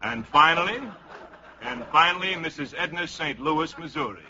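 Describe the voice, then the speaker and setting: An actor playing a polite, flustered panel moderator who stammers and repeats himself in 1950s radio comedy. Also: male voice, on the high side, normal speed, sharp tone. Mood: formal, hesitant. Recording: dull 1950s radio recording.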